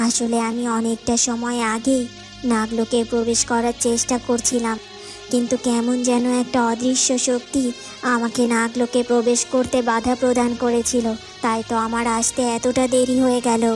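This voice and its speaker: A woman's voice: fairly high pitch, monotone, moderate pace, a little mellow, close to an everyday voice. An obedient cartoon serpent servant, acted by a woman, explains with humble deference. The voice is apologetic, with music playing underneath.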